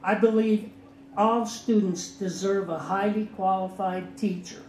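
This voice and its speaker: A man whose first language is Spanish, an attorney giving earnest public testimony at a hearing, halting now and then to restart. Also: high voice, fairly even intonation, a little mellow. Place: hearing room. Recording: echoey room with faint background noise.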